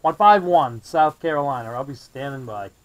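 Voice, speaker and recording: A man's voice: mid-range voice, animated, normal speed, poor audio. An American man working as a CB radio operator, trading call signs and friendly, courteous sign-offs. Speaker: CB radio operator, video maker. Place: indoor radio desk.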